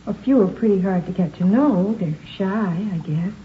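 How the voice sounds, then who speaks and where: A woman's voice: medium pitch, animated, normal speed, lightly acted. A woman playing an anxious, earnest young churchgoer under threat in a radio drama, speaking in a fretful, pleading way. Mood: troubled. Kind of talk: 1950s radio drama acting. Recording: tinny, noisy old radio recording.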